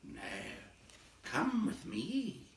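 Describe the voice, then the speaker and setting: A man's voice: high-pitched, wildly swooping pitch, drawn-out words, a little hiss or hum, pitched above the performer's own voice, exaggerated voice. Man as a genial elf butler, roomy sound. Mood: genial, inviting. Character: sociable male elf king's butler. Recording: roomy echo, distant from the mic.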